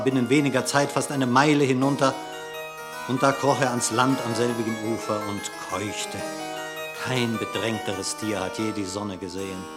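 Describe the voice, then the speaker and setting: Dramatic male narrator over music. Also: mid-range voice. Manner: dramatic, expressive declamation. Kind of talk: theatrical narration of a verse fable.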